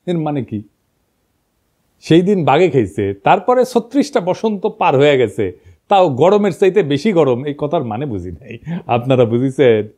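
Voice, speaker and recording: A man's voice: fairly high pitch, expressive, moderate pace, warm tone. A middle-aged man with a northern Bangladeshi accent, giving informal, mocking political commentary full of stories and rhetorical questions. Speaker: political commentator, online host.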